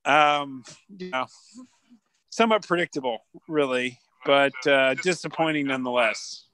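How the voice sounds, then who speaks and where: A man's voice: medium pitch, measured pace, bright, forceful tone, dry recording, rough recording. A male guest on a political podcast, talking with a sardonic, cynical edge. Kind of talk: casual political chat.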